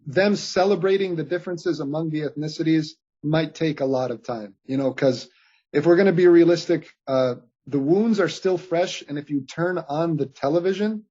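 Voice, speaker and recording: Man, late twenties, from bosnia, husky, earnest and halting, dull lo-fi sound. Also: a little high, warm tone. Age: late 20s. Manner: earnest, halting with many fillers and restarts. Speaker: podcast guest. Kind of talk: reflective interview conversation. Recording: dull lo-fi audio.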